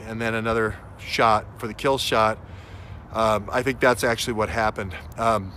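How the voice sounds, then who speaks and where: Male street vlogger, bright mid-pitched voice, emphatic stop-start improvised commentary, light urban noise behind. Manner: halting, emphatic, repeats phrases for stress. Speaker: vlogger, self-styled investigator. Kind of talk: unscripted outdoor vlog monologue, speculative commentary. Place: outdoors on a city street. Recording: outdoor street noise with traffic. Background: faint urban ambience and vehicles.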